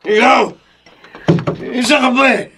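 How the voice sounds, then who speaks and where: A man's voice: extremely high pitch, steady tone, measured pace, heavy background noise. A man acting in a Filipino radio drama as a bitter, hot-tempered, middle-aged drunkard father who has been in prison. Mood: drunken rage. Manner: anguished, emphatic outbursts.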